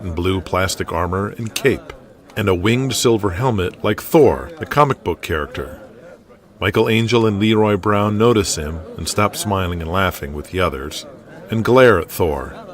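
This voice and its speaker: Low-voiced male drama narrator. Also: fairly bright tone. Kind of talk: scripted audio drama narration. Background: music.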